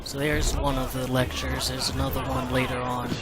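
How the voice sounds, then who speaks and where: A man's voice: medium pitch, completely flat delivery, normal speed, over a noisy crowd, heavy background noise. A man giving casual commentary as the host of a gaming video. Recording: loud game music and street sounds behind. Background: game music and urban game sounds.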